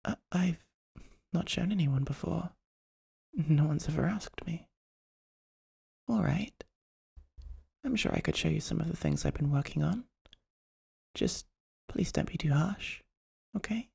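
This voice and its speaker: A performer voicing a shy, polite vampire artist in a soft-spoken, breathy ASMR roleplay, hesitant and gently formal, often close to a whisper. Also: medium pitch, measured pace, pauses often, heavily acted.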